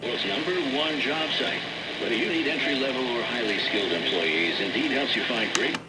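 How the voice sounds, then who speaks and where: A man's voice: mid-range voice, moderate pace. A man speaking on a radio broadcast, heard played back through a radio speaker. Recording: radio speaker playback with heavy static.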